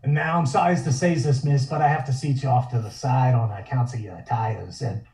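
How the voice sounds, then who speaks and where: An actor playing a big, jovial gangster bouncer with streetwise old-time slang in a table read. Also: male voice, mid-range voice, fairly quick, creaky, warm tone, lightly acted. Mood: mock apologetic. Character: intimidating hulking mob bouncer, gruffly jovial hired muscle. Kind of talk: comic table-read acting. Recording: roomy, distant microphone.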